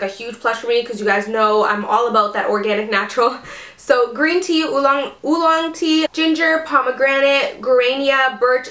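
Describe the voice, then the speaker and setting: A woman's voice: on the high side, a bit bright, roomy sound. A young adult woman vlogging casually about her monthly favorites while sick, chatty and full of fillers like "um" and "like.". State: sick, ears plugged. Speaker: beauty vlogger. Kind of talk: casual chat to camera.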